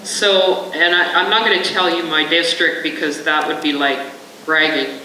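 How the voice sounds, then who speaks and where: A woman's voice: low-pitched, fairly even intonation, normal speed, sharp tone, slight background noise. A woman, a school trustee, making an earnest, rambling point from the audience at a panel. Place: large conference hall. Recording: echoey hall, mic from a distance.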